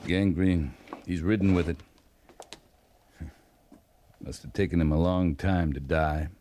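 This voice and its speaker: An actor playing a calm, commanding American cavalry captain in a radio western, a man speaking in a terse, measured way. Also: sits low. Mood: grave, measured. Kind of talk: 1950s radio western acting. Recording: old radio recording, faint noise.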